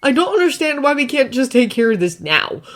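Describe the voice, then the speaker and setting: A woman's voice: sits high, very animated, brisk, pitched above the performer's own voice. A woman reading a story voices a sarcastic, defiant male inventor who drinks hard. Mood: sardonic.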